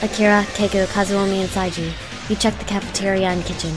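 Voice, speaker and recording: A brusque student leader played by a woman with a mid-pitched voice, giving curt orders in an audio drama. Music plays clearly behind. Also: steady tone, fast, natural voice. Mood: commanding. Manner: curt, commanding. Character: brusque, take-charge teenage student.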